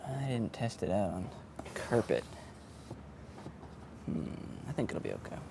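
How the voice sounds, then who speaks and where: A man's voice: on the low side, wildly swooping pitch, measured pace. A man talking casually and offhand as a sound engineer setting up gear, often muttering to himself. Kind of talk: casual vlog talk while setting up gear. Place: indoors in a print shop. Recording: clear background noise, room ambience.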